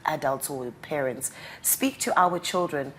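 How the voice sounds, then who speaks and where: A woman's voice: low voice, measured pace, slight background noise. A woman hosting a studio interview, chatty and probing, asking long winding questions. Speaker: talk show host.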